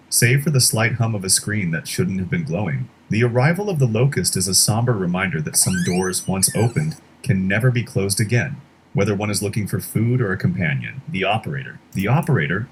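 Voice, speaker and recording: A man narrating a scripted horror explainer in a measured, dramatic storytelling way. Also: lowish voice, a little hiss or hum, rough recording.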